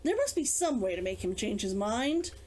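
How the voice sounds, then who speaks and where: A woman's voice: medium pitch, very animated, normal speed, a little mellow, a little hiss or hum, lightly acted. A woman voicing a guarded, stubborn young local woman in an audiobook, musing and a little hesitant. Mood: determined. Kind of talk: character dialogue in audiobook reading.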